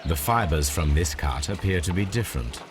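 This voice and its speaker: A methodical gentleman detective in a video game, calm and precise. His voice is very deep but moves a lot in pitch, and clear ambient game noise sits behind it. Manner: measured and deliberate.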